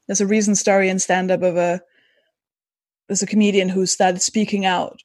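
A woman hosts a casual conversation in a chatty way, often trailing off and restarting her sentences. Her voice sits at an ordinary pitch. The sound is dry and close. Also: flat delivery, normal speed, a little mellow, dry recording. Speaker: host.

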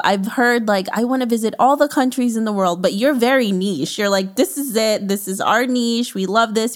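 A woman hosts a casual podcast interview in a bubbly way, laughing often as she talks. Her voice sits in a middle range. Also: medium pitch. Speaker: podcast host.

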